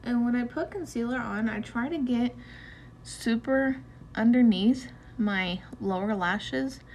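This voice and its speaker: A young woman walking through a makeup tutorial in a relaxed, chatty way, with loose fillers as she goes. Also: a little high, takes it slowly, a little hiss or hum. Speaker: beauty vlogger. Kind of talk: casual makeup tutorial narration.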